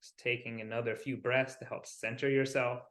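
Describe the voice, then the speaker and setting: A man's voice: mid-range voice, little pitch movement, fairly bright tone. Man guiding a meditation in an echoey room. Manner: soothing, unhurried, with pauses between short phrases. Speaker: wellness coach leading guided visualization. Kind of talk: guided meditation. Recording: roomy, echoing sound.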